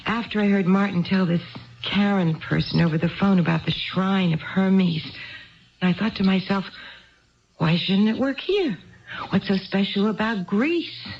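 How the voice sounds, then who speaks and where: A woman's voice: medium pitch, a little slow, vocal fry. An actress playing an anxious, indecisive married woman in a 1970s radio drama, hesitant and rambling as she talks. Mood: confiding, chatty. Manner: hesitant, rambling, self-doubting. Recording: dull, band-limited old radio broadcast.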